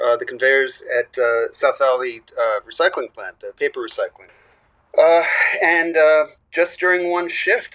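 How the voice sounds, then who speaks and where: An earnest, somber radio caller speaking up for an old friend. He rambles haltingly in a mid-range voice. The sound is dull and lo-fi. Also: medium pitch, fairly bright tone. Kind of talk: improvised comedy radio call-in acting.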